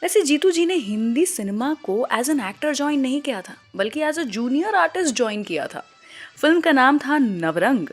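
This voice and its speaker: A woman with an Indian accent hosting a radio show, presenting scripted stories to listeners. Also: on the high side.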